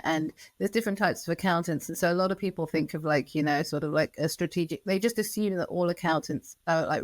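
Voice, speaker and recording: A woman's voice: low-pitched, no echo. A woman hosting a podcast interview, asking rambling questions full of "sort of" and "like" fillers. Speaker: podcast host.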